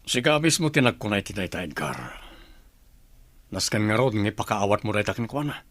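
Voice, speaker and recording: An actor playing a firm, authoritative group leader in an Ilocano radio drama. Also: male voice, lowish voice, lively intonation, fairly quick, a bit bright, dry recording.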